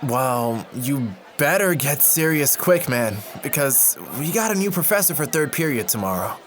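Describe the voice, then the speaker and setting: A man's voice: medium pitch, expressive, moderate pace, noisy background, natural voice. A man playing an easygoing young college student in an audio drama, talking in slangy bro speak to his best friend. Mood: earnest. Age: young adult. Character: easygoing, food-loving college guy, loyal blunt best friend.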